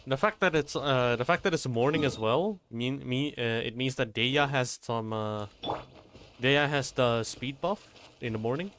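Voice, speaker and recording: A man doing casual live gameplay commentary as a streamer, halting and often repeating how his phrases start. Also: mid-range voice, a bit bright, slight background noise. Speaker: game streamer. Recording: faint game music behind.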